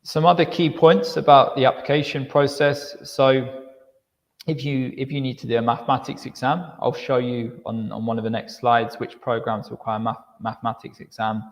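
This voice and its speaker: Man, mid-pitched voice, admissions presenter, explanatory, repeats words, long hall reverb. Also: steady tone, normal speed. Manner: explanatory, repeats words while restarting, says okay often. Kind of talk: live online information presentation.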